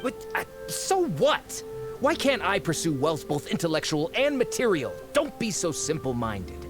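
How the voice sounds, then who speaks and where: A man's voice: on the high side, huge pitch swings, unhurried. An actor playing a shifty, greedy archaeology researcher in a video game, wheedling and theatrical as he justifies himself. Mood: defensive. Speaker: game character. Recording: game music under the voice.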